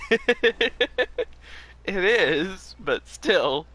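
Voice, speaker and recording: A man's voice: high voice, lively intonation, drawn-out words, sharp tone. A man giving casual commentary as he streams a video game.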